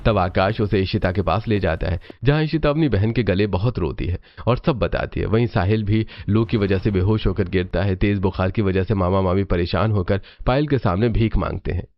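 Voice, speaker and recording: A man's voice: sits low, moderate pace, hardly any pauses, lo-fi sound. A man narrating a scripted story.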